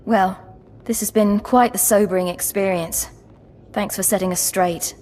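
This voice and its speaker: Smooth-talking scheming Sith acolyte, voiced by a woman. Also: medium pitch, steady tone, darkish tone, slight background noise, close to an everyday voice, rough recording. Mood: sobered, wry. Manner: polite, measured, wryly persuasive. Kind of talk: video game voice acting.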